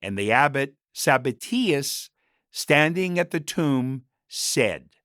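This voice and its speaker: A man narrates a story at a slow, measured pace. His mid-pitched voice moves expressively up and down through the scripted lines, and the sound is dry, with very little room around it. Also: animated, fairly bright tone, no room sound.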